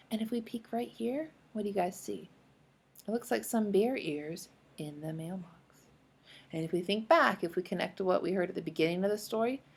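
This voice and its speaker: Lady teacher doing a kids read-aloud lesson, very up and down pitch, bit of fry, explains stuff and asks questions. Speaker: speech-language teacher.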